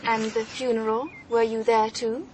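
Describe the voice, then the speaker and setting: A woman with a British accent playing a polite, determined young private detective in a radio drama, measured and probing. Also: a little high, level tone, darkish tone, slight background noise, lightly acted. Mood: insistent, questioning. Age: young adult. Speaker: lead actress.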